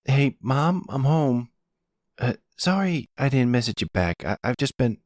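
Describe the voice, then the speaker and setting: A man's voice: mid-range voice, very animated, measured pace, darkish tone, dry recording, studio quality, voice pushed up. A man voicing an indignant, whiny young man, a mobster's underling, in an audio roleplay. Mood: sheepish, casual.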